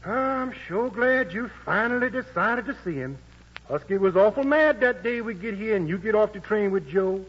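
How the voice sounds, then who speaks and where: An actor playing a fast-talking boxing manager in a 1940s radio drama, smooth-talking and wheedling, heard on a dull old broadcast recording. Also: male voice, sits high, animated.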